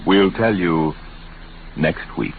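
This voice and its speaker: A man narrating in a dramatic 1950s radio style, portentous, with deliberate pauses. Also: deep voice, phone-quality sound, heavy background noise. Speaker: narrator, announcer. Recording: band-limited old radio broadcast under loud music. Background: loud dramatic music.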